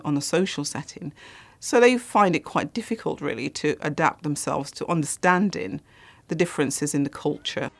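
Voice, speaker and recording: A speaker in a documentary interview, talking conversationally with frequent "you know"s. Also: mid-range voice.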